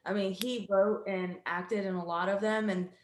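A woman chatting casually in a group, retelling stories in an animated, sweary way. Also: medium pitch, moderate pace, echoey room.

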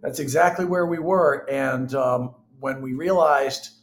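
A man's voice: mid-range voice, a little slow. A middle-aged man telling personal stories candidly and conversationally as an interview guest.

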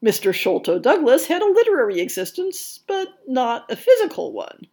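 A woman's voice: high-pitched, big pitch swings, moderate pace, a little mellow. A woman hosting a podcast, reading a scripted history essay in an articulate storytelling way with wry asides. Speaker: podcast host.

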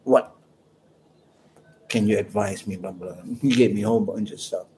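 Man, mellow, warm voice; relaxed, rambling anecdotal guest. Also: fairly low pitch, moderate pace, slight background noise, poor audio. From Malaysia. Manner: rambling, anecdotal storyteller with chuckles. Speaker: guest musician. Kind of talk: casual interview chat.

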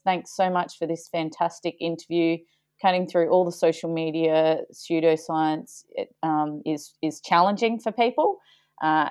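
A woman's voice: sits low, a little mellow, smooth, no room sound, clean studio sound. A woman co-hosting a sports nutrition podcast, chatting casually and laughing often. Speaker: podcast co-host.

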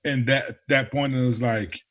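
Man, priest from Brazil, mid pitch, self-deprecating, quick bursts of speech. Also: steady tone, fairly bright tone. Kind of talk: casual conversation.

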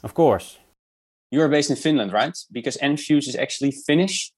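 A man's voice: mid-range voice, lively intonation, moderate pace, slightly rough. A man hosting an interview show, reading a formal prepared introduction before asking questions. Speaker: podcast host.

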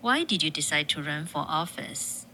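A woman, hosting and narrating a political segment in a measured way, with frequent fillers. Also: low voice, animated, sharp tone, a little hiss or hum. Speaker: interviewer and host. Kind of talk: scripted news commentary.

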